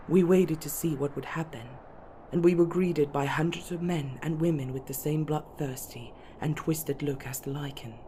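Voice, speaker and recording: A woman voicing a dignified, proud elven lady who speaks formally and in measured tones. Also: low voice, dark tone, a little hiss or hum. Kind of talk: ASMR fantasy roleplay.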